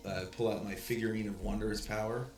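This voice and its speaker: A man's voice: on the low side, fairly even intonation, fairly quick, darkish tone. A man playing in a tabletop game, laying out his plans in a laid-back way with fillers. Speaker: player. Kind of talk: casual tabletop play. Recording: echoey room, faint music.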